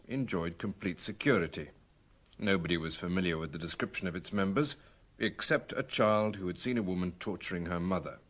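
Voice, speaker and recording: An actor playing a methodical, respectful male police superintendent, giving formal, measured reports in a British radio crime drama. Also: low-pitched, fairly bright tone. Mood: measured, factual.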